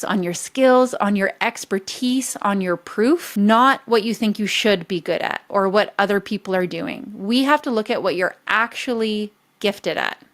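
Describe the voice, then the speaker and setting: A woman business coach teaching a motivational masterclass, direct and emphatic, talking straight to her audience. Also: mid-range voice.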